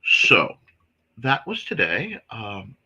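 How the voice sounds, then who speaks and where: A man hosting a casual morning livestream, his voice a bit hoarse and scratchy, talking in an apologetic, rambling way with lots of fillers. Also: mid-range voice, huge pitch swings, very slow, bright, forceful tone. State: slightly hoarse from a reaction. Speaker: host of a creative morning show.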